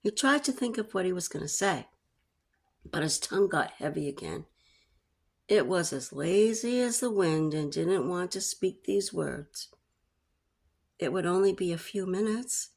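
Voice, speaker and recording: Creaky-voiced woman reading a story aloud. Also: on the low side, animated, measured pace, a little mellow. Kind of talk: read-aloud story narration.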